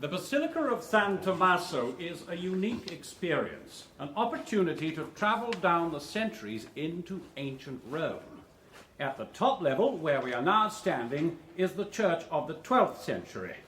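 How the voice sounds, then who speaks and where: An actor playing a smooth, officious tour guide in a radio drama, with a formal, flowery way of announcing. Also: male voice, fairly high pitch, big pitch swings, normal speed, a bit bright. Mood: grand, declaiming. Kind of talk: British radio drama acting.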